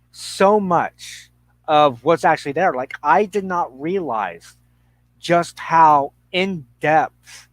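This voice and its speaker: A middle-aged man in a reflective conversation, speaking haltingly and thoughtfully with pauses mid-sentence. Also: a little high, dry recording, poor audio.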